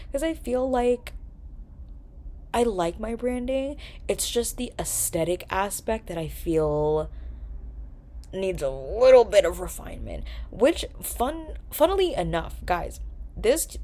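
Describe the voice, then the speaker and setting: A young woman from New York hosting a casual, chatty vlog, swearing and joking as she talks. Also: mid-range voice. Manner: chatty, animated, swears and jokes. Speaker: vlogger, podcast host. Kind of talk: casual chat to camera.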